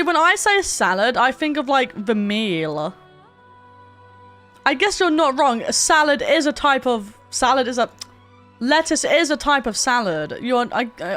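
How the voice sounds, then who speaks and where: High-voiced woman streaming a game. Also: a bit bright. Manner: sweary, joking, rambling. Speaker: livestreamer. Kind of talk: casual game stream chat. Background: game music.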